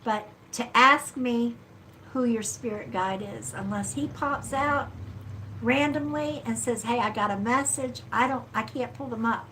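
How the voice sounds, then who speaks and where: A woman with a stuffy nose reads cards for viewers on a live stream. She talks slowly and gently, pausing often to reassure, with a slightly raised pitch. A faint hiss sits behind her voice. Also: rough recording. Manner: slow, soothing, reassuring, with frequent "okay?" and "all right?". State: stuffy-nosed. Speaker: psychic card reader. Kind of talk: live-stream card reading.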